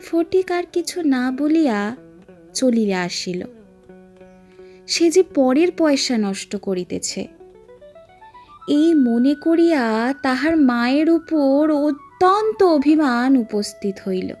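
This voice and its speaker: Female audiobook narrator for dramatic literary fiction: high voice, unhurried pace, expressive phrasing. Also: faint music behind. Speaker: storyteller.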